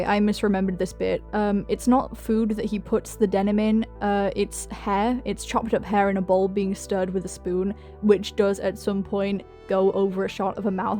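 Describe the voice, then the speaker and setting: A woman with a medium-pitched voice narrates a video essay over music. She reads from a script but keeps it informal and chatty, slipping in casual, self-deprecating asides like a channel host talking to viewers. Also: a little mellow.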